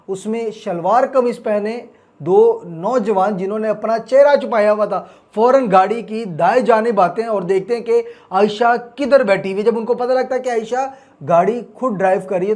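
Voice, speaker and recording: A man's voice: high voice, a little mellow. A man with a Pakistani accent hosting a crime news report, speaking dramatically and emphatically, repeating key phrases for suspense. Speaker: host of a crime news channel.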